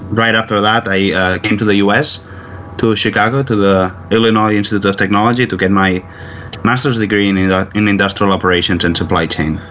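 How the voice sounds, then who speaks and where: A man's voice: lowish voice, steady tone, a bit bright. Hesitant young man from near Barcelona. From Spain, near Barcelona. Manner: careful, many "uh" pauses. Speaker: plant manager, guest. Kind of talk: interview answers. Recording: dull lo-fi line with faint noise.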